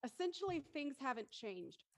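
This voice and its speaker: A woman's voice: high voice, big pitch swings, normal speed. A woman moderating a casual panel discussion, explaining things and putting questions to the group. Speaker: moderator of a post-show discussion.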